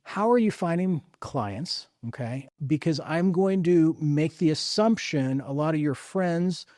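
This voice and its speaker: A man's voice: a little high, animated, measured pace, darkish tone, no echo, clean studio sound. A man hosting a conversational podcast interview, upbeat and encouraging, repeating phrases for emphasis.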